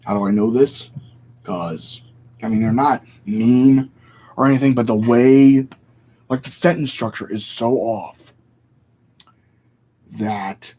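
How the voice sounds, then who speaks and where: A man's voice: medium pitch, dark tone, slightly rough, poor audio. A man vlogging in a casual, rambling rant, opinionated and often correcting himself mid-sentence.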